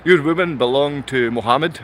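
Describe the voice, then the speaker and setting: A Glaswegian man speaks off the cuff to a livestream audience. His pitch sits in an average male range. He rambles, circles back on the same phrases and keeps throwing out rhetorical questions. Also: normal speed, fairly bright tone, slight background noise. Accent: Glaswegian Scottish. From Glasgow, Scotland. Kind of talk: unscripted livestream monologue to viewers.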